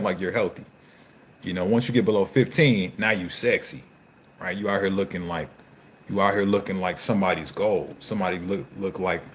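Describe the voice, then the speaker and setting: A man in his thirties, a fitness coach, hosting a casual live Q&A, reading viewer comments and answering in a loose, slangy, profane way. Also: lowish voice. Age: 30s.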